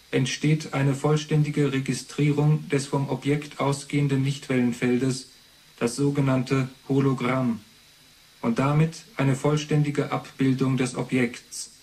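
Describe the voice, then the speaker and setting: An actor playing an impersonal information system, reciting entries in a formal, matter-of-fact way for a radio play. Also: male voice, mid-range voice, flat delivery, measured pace, a little mellow, close to an everyday voice, poor audio. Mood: neutral, factual.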